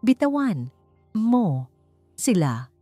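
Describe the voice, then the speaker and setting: A woman's voice: medium pitch, very animated, very slow, soft background music, voice pushed up. A woman voicing a desperate, furious father in an audiobook drama, calling out emphatically. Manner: emphatic, shouting. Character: desperate, furious father searching for his son.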